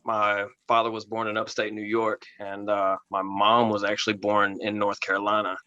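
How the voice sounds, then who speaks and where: Smooth calm low-voiced stand-up man. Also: moderate pace, no room sound, dull audio. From Florida, USA. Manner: calm, smooth, self-deprecating delivery. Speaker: stand-up comedy contestant. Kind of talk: stand-up set, then taking feedback.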